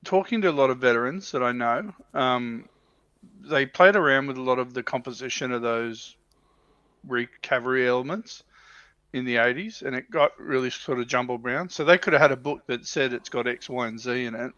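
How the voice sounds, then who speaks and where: A man's voice: medium pitch, a little fast, fairly bright tone, no echo. A man co-hosting a casual livestream chat, tentative and thinking aloud, on a slightly dull, dry recording. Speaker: livestream co-host on tabletop wargaming show.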